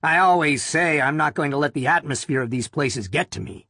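A man voicing a gruff, cautious male technician with a blunt, sardonic manner in an audiobook story. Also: on the high side, expressive, fairly quick, pushed and bright, no room sound, clean studio sound, exaggerated voice. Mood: dismissive.

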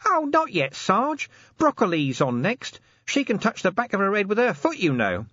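A man's voice: high-pitched, wildly swooping pitch, normal speed, a bit bright, no room sound, highs cut off, pitched above the performer's own voice, exaggerated voice. A man voicing a cheeky, seedy, eager male corporal of the city watch in an audiobook, chatty and matter-of-fact. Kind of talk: audiobook character acting.

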